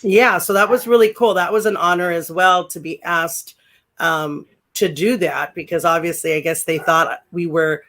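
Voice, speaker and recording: A woman in her sixties with a deep voice, chatting as a guest in an interview, rambling with many fillers and restarts. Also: steady tone, normal speed, fairly bright tone. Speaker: guest, former pro wrestler. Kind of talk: casual interview chat.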